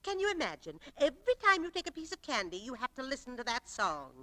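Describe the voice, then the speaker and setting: A woman's voice: sits high, very animated, quick pace, bright, forceful tone, exaggerated voice. A woman playing a fussy, decisive shop customer in a 1940s radio drama. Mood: disdainful. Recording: old 1940s radio broadcast.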